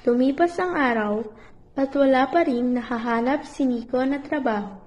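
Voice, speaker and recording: A woman's voice: high voice, moderate pace, darkish tone, a little hiss or hum. A woman narrating a scripted story for a puppet show in storybook style.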